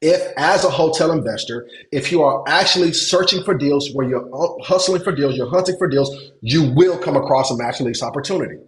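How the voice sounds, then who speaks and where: A man's voice: fairly high pitch, brisk. A man from Nigeria coaching a webinar on hotel investing, repeating words for emphasis and checking that listeners follow. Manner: repeats words for emphasis, asks if it makes sense. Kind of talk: webinar teaching. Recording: echoey room.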